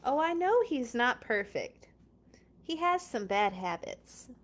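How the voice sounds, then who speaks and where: A woman reading a children's picture book aloud in an expressive, playful way, with chatty casual asides. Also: fairly high pitch, slow.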